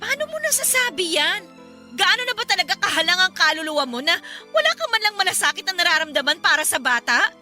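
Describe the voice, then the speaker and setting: A woman's voice: very high voice, lively intonation, quick pace, pushed and bright, faint music behind, full character voice. A woman playing a desperate young single mother in an emotional, confrontational radio drama, pleading and accusing. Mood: hurt, furious. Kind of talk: Filipino radio drama acting.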